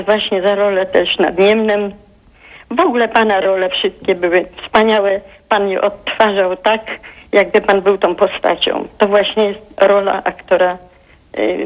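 A woman in her eighties from Poland calls in to a TV talk show, speaking in a heartfelt, halting way and often searching for words. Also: medium pitch. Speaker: caller. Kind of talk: phone-in call to a TV talk show. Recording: dull phone line.

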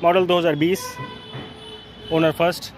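A North Indian man with a slightly high voice gives a used car walkaround. He lists features in short, matter-of-fact phrases. He is recorded outdoors in a car lot, with faint street noise behind him. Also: flat delivery, a little slow. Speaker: used car dealer presenting cars. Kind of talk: spoken walkaround sales presentation. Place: outdoor car lot, beside and inside cars. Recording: noisy outdoor street ambience.

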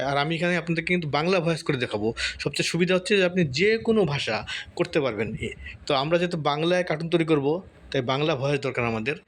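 A man's voice: on the high side, fairly bright tone. A man hosting an informal step-by-step tutorial, explaining things in a repetitive way with frequent tag words. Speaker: tutorial host. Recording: clearly audible background noise.

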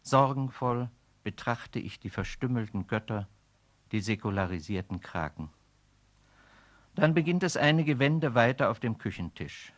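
A lonely, brooding man speaking his thoughts aloud in a radio play. He talks in a reflective, literary way, in a plain mid-pitched voice. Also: expressive, measured pace. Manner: reflective, literary inner monologue. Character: lonely man brooding over phantom pains.

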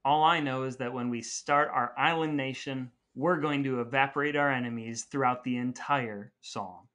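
A man's voice: mid-range voice, fairly even intonation, normal speed, a bit bright. A man co-hosting a podcast with casual, comedic talk. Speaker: podcast co-host.

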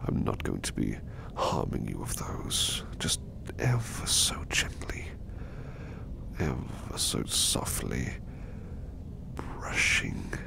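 Gentle protective butler-type servant for ASMR roleplay male actor: soft breathy whisper, deep gravelly fry, unhurried, courteous and comforting. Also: wildly swooping pitch, exaggerated voice. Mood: soothing, hushed. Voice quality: soft, breathy whisper with low creaky rasp. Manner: slow, tender, reassuring, formally polite. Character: gentle, protective male servant soothing his master to sleep. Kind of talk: ASMR roleplay, personal attention.

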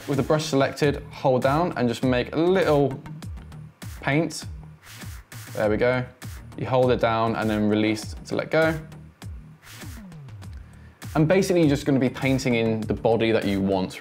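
A man in his twenties giving a casual, chatty software tutorial, thinking aloud as he demonstrates. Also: mid-range voice. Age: 20s. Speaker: host, tutorial presenter.

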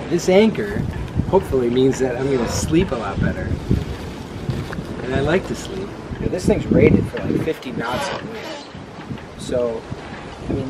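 A man in his thirties hosting a sailing vlog, chatting and joking on camera while standing on a dock. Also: mid-range voice. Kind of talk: casual on-camera explaining. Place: outdoor marina dock beside boats. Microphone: camera mic from a few steps away. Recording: loud outdoor wind and harbor noise.

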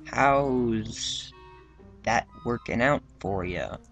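A man's voice: medium pitch, extremely slow, a bit bright, faint music behind. A man playing a blunt, teasing young adventurer in an amateur comedy radio drama, with a needling manner.

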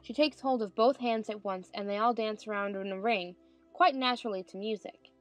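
A woman's voice: medium pitch, fairly quick, faint music behind. A woman narrator reading stage directions in a scripted dramatized audiobook.

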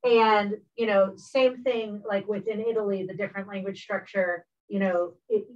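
A woman's voice: medium pitch, steady tone, normal speed. Woman with a spanish-speaking accent on a muffled far-off webcam, kinda hesitant, lots of you know. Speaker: workshop participant. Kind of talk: casual discussion on a video call. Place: home office. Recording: muffled, distant webcam audio.

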